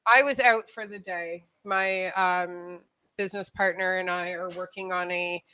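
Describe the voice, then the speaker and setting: Woman, thirties, from Niagara, casual host, laughs easily, bright voice, dry sound. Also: lowish voice, steady tone, a little slow, clean tone, no echo. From Niagara region, Canada. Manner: chatty, loose, laughs easily. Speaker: show host. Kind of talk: casual video chat interview. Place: home.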